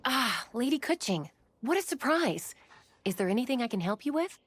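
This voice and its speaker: A woman's voice: on the high side, big pitch swings, moderate pace, fairly bright tone, slightly rough, exaggerated voice. A woman voicing a courteous, welcoming innkeeper in a video game, with a polite and deferential manner. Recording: faint music behind.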